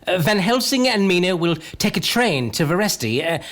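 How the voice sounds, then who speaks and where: A man's voice: high-pitched, sing-song, normal speed, a bit bright. An actor playing an earnest man in a gothic vampire radio drama, with theatrical delivery. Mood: grave. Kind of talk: dramatic radio-play acting.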